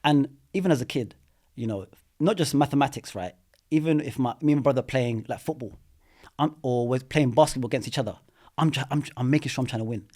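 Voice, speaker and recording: A man, a podcast guest telling his story in a relaxed way, full of "you know" and restarts. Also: medium pitch, fast talker. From London. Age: 30s. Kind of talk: casual interview chat. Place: studio.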